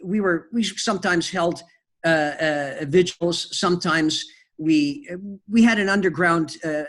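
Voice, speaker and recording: A man with a fairly high voice for a man, answering interview questions. He talks in halting runs full of fillers and restarts as he recalls events. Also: a bit bright. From Canada. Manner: hesitant, many fillers and restarts. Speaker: interview guest, film producer. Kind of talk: conversational interview storytelling.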